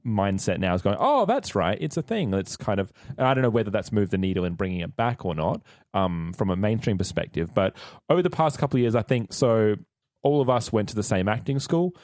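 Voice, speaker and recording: Low-voiced Australian man chatting, dry sound. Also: animated, fairly quick, darkish tone, dry recording. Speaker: actor and player on a tabletop role-playing podcast. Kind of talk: casual panel chat.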